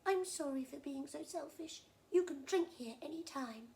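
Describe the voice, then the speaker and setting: A woman's voice: high voice, normal speed, creaky, darkish tone, pitched above the performer's own voice. A woman voicing an emotional, repentant crocodile in a children's story, pleading and stammering as it exclaims. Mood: eager, apologetic.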